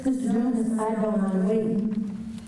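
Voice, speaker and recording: A woman's voice: medium pitch, steady tone, unhurried, mellow, close to an everyday voice. A woman playing an easygoing, patient frontier customer in a live radio western. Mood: patient, reassuring. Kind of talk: live old-time radio western acting. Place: high school auditorium stage. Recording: very echoey hall, distant mic, audience noise.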